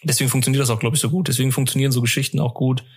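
A man's voice: medium pitch, fairly even intonation, rapid-fire, husky. A man co-hosting a casual fan podcast, telling stories in a chatty, rambling, slangy way. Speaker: podcast co-host.

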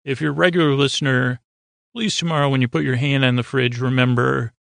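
Male podcast host, creaky and gravelly, mid-pitched, stammering through meandering bedtime rambles, recorded close and dry. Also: steady tone, fairly quick, a bit bright, no room sound. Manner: meandering, stammering, keeps derailing himself.

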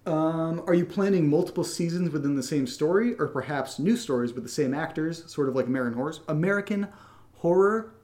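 A man's voice: a little high, a little fast, darkish tone. A man co-hosting a podcast, chatting casually through listener questions with jokey, teasing banter. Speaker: podcast co-host and actor. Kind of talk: casual Q&A chat. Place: indoors in a home.

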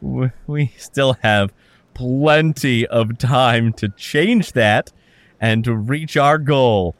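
A man's voice: mid-range voice, drawn-out words, dry recording. A man hosting a radio fundraiser with a showy, chuckling manner, thanking donors.

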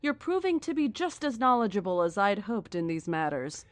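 An actress playing a cryptic, coldly certain cult founder in a horror audio drama, speaking in a measured, formal and deliberate way. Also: female voice, mid-range voice, big pitch swings, no room sound, close to an everyday voice. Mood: calm, knowing.

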